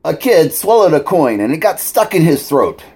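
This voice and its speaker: Man acting as theatrical doctor horror host, higher-pitched, very animated, hammy, jokey announcer delivery. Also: moderate pace, breathy edge, exaggerated voice. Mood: playful, presenting. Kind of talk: scripted comic joke segment.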